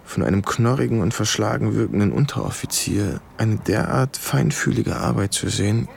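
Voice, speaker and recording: An actor playing a man who recounts his undercover wartime past in a radio drama, measured, wary and confiding. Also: fairly low pitch, animated, vocal fry, a little hiss or hum. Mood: reflective, wary. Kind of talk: radio drama first-person narration.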